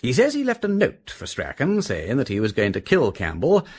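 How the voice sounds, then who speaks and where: An actor playing an articulate British gentleman detective in a radio drama, fluently and precisely reporting his findings. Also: medium pitch, wildly swooping pitch, normal speed, slightly rough. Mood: matter-of-fact.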